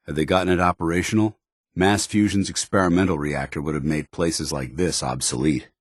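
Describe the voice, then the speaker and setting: An actor playing a stern, loyal military officer in a video game, speaking with formal, earnest, clipped diction. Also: male voice, a bass voice, slightly rough, no echo, clean studio sound, full character voice. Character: stern, loyal, dutiful male military officer in power armor. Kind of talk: video game companion dialogue.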